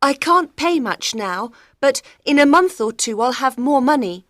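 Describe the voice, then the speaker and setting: A woman acts a troubled young gentlewoman in a storybook mystery. Her voice is fairly high, earnest and carefully enunciated. The sound is close and dry. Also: animated, measured pace, dry recording. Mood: desperate, pleading. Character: frightened, desperate young gentlewoman of about thirty. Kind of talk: dramatised audiobook reading.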